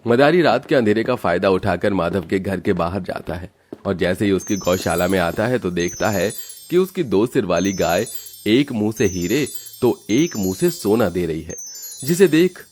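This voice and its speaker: A man narrates an animated moral story in a steady, even storytelling manner. His voice sits low for a man. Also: moderate pace, faint music behind.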